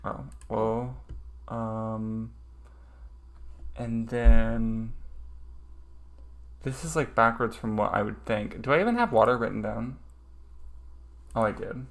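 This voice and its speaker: Casual streamer, man with a lowish voice, mulls things over aloud and hesitates a lot. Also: slow pace, gravelly, pauses often. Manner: thinking aloud, hesitant with many ums. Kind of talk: casual livestream study session.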